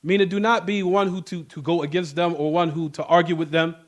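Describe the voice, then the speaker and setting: Man, high-pitched voice, religious teacher, informal lecture, emphatic, repetitive, questioning delivery. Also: steady tone, brisk. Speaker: religious teacher giving a class. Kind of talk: informal Islamic lecture with scriptural recitation.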